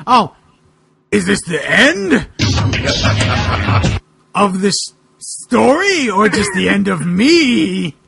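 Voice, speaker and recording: A man playing an anguished, fearful monster-man facing his doom, with drawn-out melodramatic declaiming. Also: sits high, very animated, creaky, music in the background, exaggerated voice.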